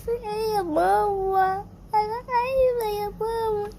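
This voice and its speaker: A young performer playing a frightened Egyptian girl who sobs, pleads and repeats her cries for her mother in a very high, shrill voice. It is an amateur skit with loud noise behind it. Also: fairly even intonation, moderate pace. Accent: Egyptian Arabic. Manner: sobbing, pleading, repeating herself. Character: terrified young girl trapped in an earthquake.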